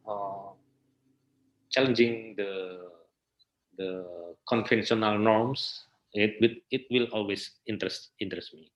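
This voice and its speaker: A man's voice: medium pitch, a bit bright, poor audio. A man with an Indonesian accent giving thoughtful interview answers, hesitant and often searching for words as he repeats phrases. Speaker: interview guest, theatre researcher and festival director.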